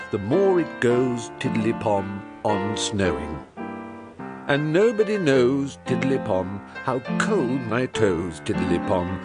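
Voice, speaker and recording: A British man playing a simple, good-natured, musing toy bear in a dramatized children's audiobook, with a bumbling, singsong manner. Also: on the low side. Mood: cheerful singsong humming.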